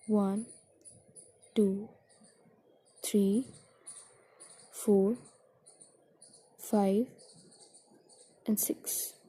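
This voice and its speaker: A woman guiding a meditation in a soothing, gentle, deliberate way, with a soft, breathy voice that trails toward a whisper. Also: medium pitch, little pitch movement. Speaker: meditation guide. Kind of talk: guided meditation narration.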